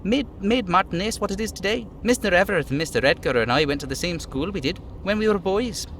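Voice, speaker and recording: A man's voice: high-pitched, animated, quick pace, fairly bright tone, slight background noise, voice pushed up. A man voicing a simple, friendly little dock worker from a video game, chattering in a naive, folksy way. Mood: eager, friendly.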